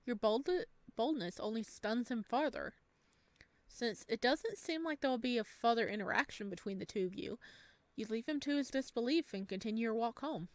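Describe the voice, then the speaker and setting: A woman hosting a let's play, reading game text aloud and chatting to viewers with bubbly, expressive reactions. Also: a little high, moderate pace, no echo. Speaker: gaming video host.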